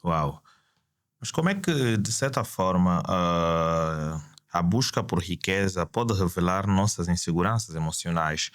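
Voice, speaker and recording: A man's voice: low voice, expressive, a little slow, a bit bright, dry recording, clean studio sound. A man hosting a podcast, interviewing a guest and reacting with frequent admiring interjections. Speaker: podcast host.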